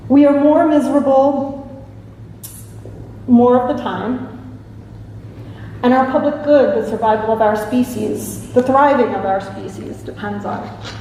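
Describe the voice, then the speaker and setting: A woman delivers a prepared talk in an earnest, emphatic and deliberate way, with a slightly high voice. She is heard from a distance in a large, reverberant hall. Faint background noise sits under her voice. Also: mellow. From New York and Italy. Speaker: speaker, evaluation consultant.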